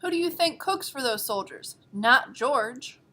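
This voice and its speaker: A woman's voice: high-pitched, lively intonation, measured pace, bright, forceful tone, heavily acted. A woman playing plucky, defiant colonial women in an amateur comic read-aloud. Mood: resolute.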